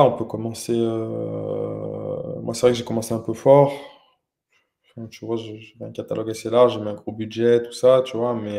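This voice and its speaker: A young man talking casually as the guest in an interview, his voice mellow and relaxed. He hesitates often, restarting phrases and filling gaps as he goes. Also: on the low side, creaky. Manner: hesitant, many fillers and restarts. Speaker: interviewed entrepreneur.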